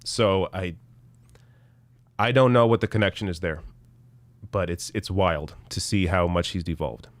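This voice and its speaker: A man in his thirties, hoarse from losing his voice, hosting a casual live political stream with blunt, profane commentary and frequent restarts. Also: low-pitched, measured pace, pauses often, no echo. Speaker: political show host reading viewer chat.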